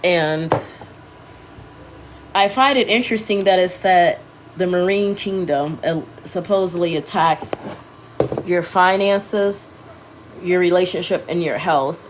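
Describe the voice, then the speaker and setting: A woman's voice: fairly low pitch, measured pace, slight background noise, low-quality recording. Congested, rambling woman livestreaming. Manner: meandering, self-correcting, talking to viewers. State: congested and scratchy-throated. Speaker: livestream host.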